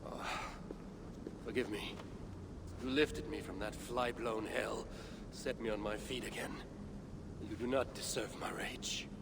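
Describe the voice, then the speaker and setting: An actor playing a vengeful, grieving Norse god-warrior in a video game, with a gravelly voice and solemn, archaic phrasing. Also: male voice, on the low side, fairly even intonation, measured pace. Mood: bitter, remorseful. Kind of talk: dramatic video game acting. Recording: loud background noise.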